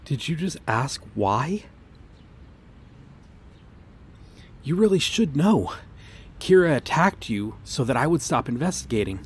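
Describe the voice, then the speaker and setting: A man playing an earnest, guarded police detective in an ASMR audio drama, speaking haltingly with long pauses. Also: medium pitch, very animated, slight background noise, close to an everyday voice. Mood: pained, conflicted. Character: earnest, guarded male police detective questioning someone he cares for. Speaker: voice actor in an audio roleplay. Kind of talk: ASMR roleplay drama, acted one-sided dialogue.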